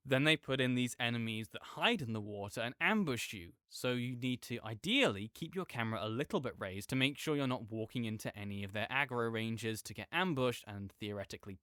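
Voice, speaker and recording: A man's voice: medium pitch, fairly bright tone, dry recording, natural voice. Guy playing ur nerdy roommate on a rambly gamer rant, keeps correcting himself, dry close sound. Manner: rambling, self-correcting, halting, apologetic asides. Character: opinionated gamer roommate ranting good-naturedly about games. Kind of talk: rambling casual rant, sleep-aid audio roleplay.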